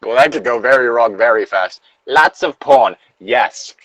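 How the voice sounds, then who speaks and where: A man's voice: mid-range voice, animated, measured pace, fairly bright tone, no echo. A man reading a horror story aloud as co-host, casually, with joking asides and the odd stumble. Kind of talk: casual co-reading of creepypasta with banter.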